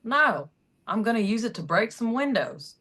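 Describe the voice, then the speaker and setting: A woman's voice: on the high side, sing-song, moderate pace, a bit bright, husky, heavily acted. A woman acting a plucky, resourceful mother with a wry streak in a screenplay table read. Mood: resolute.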